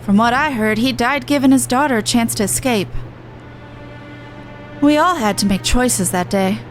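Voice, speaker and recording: A woman's voice: fairly high pitch, moderate pace. A woman game streamer reading dialogue aloud with chatty reactions, stumbling and correcting herself as she goes. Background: video game music.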